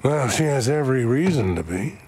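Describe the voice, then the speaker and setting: A man's voice: medium pitch, lively intonation, a little fast, noisy background, close to an everyday voice. A man acting in a video game as a menacing Old West hard man, dry, sardonic and threatening. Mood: cold, sardonic.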